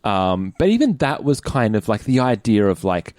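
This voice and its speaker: A young Australian man hosting a casual podcast in a low, very expressive voice, recorded close and dry. He rambles a little and often doubles back to correct himself. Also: moderate pace, no echo. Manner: animated, rambling, self-correcting. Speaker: podcast host.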